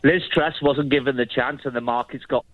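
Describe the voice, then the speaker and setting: A man's voice: mid-range voice, fairly even intonation, a little fast, no echo. A man interviewed on the street, speaking in a blunt, indignant way. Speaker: vox pop interviewee. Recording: dry tinny clip, radio-like.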